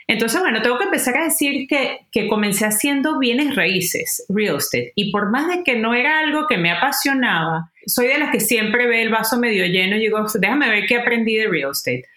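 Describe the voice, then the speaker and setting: A woman from Venezuela, a guest entrepreneur talking in a warm, upbeat, conversational way. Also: fairly high pitch, roomy sound. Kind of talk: interview conversation.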